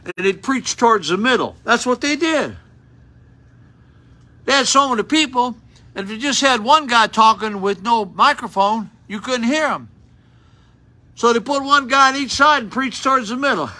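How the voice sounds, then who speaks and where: An older man preaching an informal sermon in a small church, folksy and loud, asking the room rhetorical questions. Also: extremely high pitch. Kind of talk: informal sermon with Bible reading. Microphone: phone camera close up.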